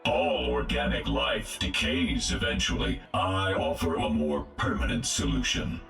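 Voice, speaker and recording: A man's voice: extremely low pitch, lively intonation, unhurried, a bit bright, far from the mic, faint music behind, full character voice. A man voicing a cold, arrogant killer robot in a video game, menacing and pompous, with robotic processing. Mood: cold, superior. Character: cold, arrogant male killer robot bent on erasing life.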